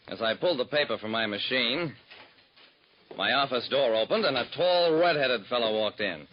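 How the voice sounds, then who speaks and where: A wry, hard-boiled private detective telling his case in first person, his voice rising and falling in lively swings. The sound is dull and lo-fi with a faint hiss, like a 1940s radio transcription. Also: fairly high pitch. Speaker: narrator. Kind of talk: 1940s radio drama narration.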